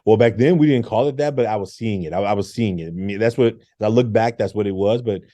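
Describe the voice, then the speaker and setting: A middle-aged man, a former engineer turned teacher, telling animated stories in an interview, acting out quotes and laughing often. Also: fairly low pitch, fast talker, dry recording. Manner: lively, acts out quotes with laughs.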